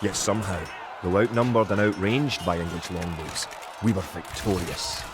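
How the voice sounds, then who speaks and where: An actor playing a solemn, resolute medieval Scottish soldier, narrating a video game war campaign in a grave, measured storytelling manner. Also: male voice, deep voice, background noise, natural voice. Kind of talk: dramatic scripted historical narration. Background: music.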